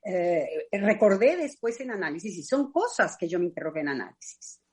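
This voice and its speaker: A Mexican woman with a Mexican accent, a psychoanalyst talking on a radio panel in a thoughtful, personal way with frequent pauses to search for words. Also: fairly low pitch, very animated. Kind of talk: reflective radio discussion.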